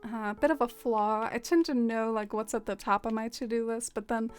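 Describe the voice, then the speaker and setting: A woman's voice: a little high, steady tone, fairly quick. A young woman from the American South with a Southern accent, chatting casually and self-deprecatingly while streaming a game. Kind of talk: casual live-stream chat while gaming.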